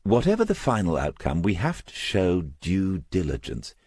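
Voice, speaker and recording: A man's voice: low-pitched, huge pitch swings, normal speed, darkish tone, slightly rough, no room sound, studio quality, voice pushed up, exaggerated voice. A man voicing a young corporate insurance woman in an audiobook, with a polite, formal, matter-of-fact manner.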